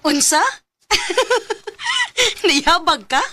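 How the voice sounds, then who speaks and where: A woman's voice: extremely high pitch, wildly swooping pitch, drawn-out words, music in the background, heavily acted. A woman playing a feisty, proud young student in a radio drama, with a Cebuano Filipino accent. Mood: mocking, proud.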